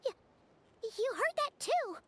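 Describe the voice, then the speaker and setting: Squeaky, very high-pitched little fairy girl. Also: very animated, takes it slowly, a little hiss or hum, full character voice. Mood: alarmed. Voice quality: squeaky, childlike. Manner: exclamatory, dramatic. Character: small, excitable floating fairy-like girl companion. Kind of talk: video game character acting. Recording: loud background music.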